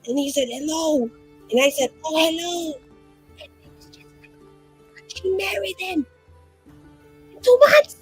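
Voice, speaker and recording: A woman's voice: extremely high pitch, unhurried, pauses often, faint music behind, voice pushed up. A woman voicing a flamboyant, chatty young female mage, rambling and full of fillers. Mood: gossipy.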